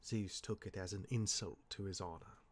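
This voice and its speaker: A man playing a cursed demigod, a wry mentoring older brother who speaks in measured, dramatic pauses in an audio drama. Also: low-pitched. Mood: wry, solemn. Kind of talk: dramatic audio roleplay dialogue.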